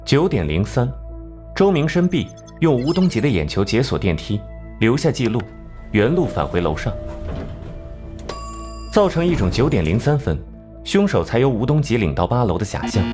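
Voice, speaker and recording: An actor playing a cool, sardonic genius detective in an animated crime drama, speaking in a measured, analytical way. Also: male voice, mid-range voice, natural voice. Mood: coolly explaining. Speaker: detective laying out a case. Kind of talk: animated crime drama acting, deductive exposition. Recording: music behind.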